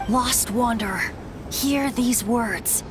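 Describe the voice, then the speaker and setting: A woman's voice: fairly high pitch, takes it slowly, loud music behind the voice. A woman playing an earnest, mystical young female guide in a video game, speaking with solemn poetic purpose. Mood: tender solemn chant. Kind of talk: dramatic video game acting.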